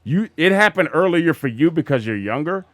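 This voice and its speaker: A man in his forties from Phoenix, hosting a podcast in a loose, energetic chat, often repeating himself. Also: on the high side, normal speed, no room sound. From Phoenix, USA. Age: 40s. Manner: energetic, talks over others, repeats himself. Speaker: podcast host. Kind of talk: casual chat. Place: room with others, walking around.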